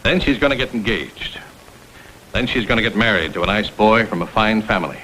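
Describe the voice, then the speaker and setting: A man's voice: lowish voice, brisk, sharp tone. An actor playing a middle-aged, self-made father in an old crime drama, fiercely protective, blunt and threatening. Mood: fierce, anguished. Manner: blunt, emphatic, tough-talking. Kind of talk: vintage American television crime drama acting. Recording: faintly noisy vintage film soundtrack.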